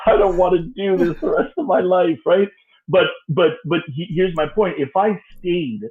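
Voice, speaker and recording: A man in his forties speaks with an emphatic, animated drive, leaning hard into each point and breaking into laughter easily. His voice sits in an ordinary middle pitch. The audio is a little dull, as if the highs are rolled off. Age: 40s. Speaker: podcast guest, business mentor. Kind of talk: casual podcast conversation.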